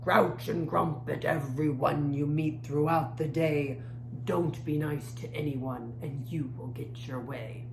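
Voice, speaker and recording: A woman's voice: deep voice, steady tone, slow pace, creaky, pitched below the performer's own voice, exaggerated voice. A woman voicing nasty, gleeful little gloom creatures from a storybook, chanting in a taunting sing-song. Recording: echoey room, faint background noise.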